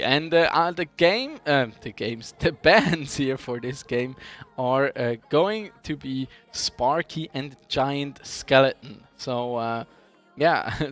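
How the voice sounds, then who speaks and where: A man's voice: medium pitch, fairly bright tone. A man commentating an esports game in a casual, conversational way with frequent hesitations. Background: background music.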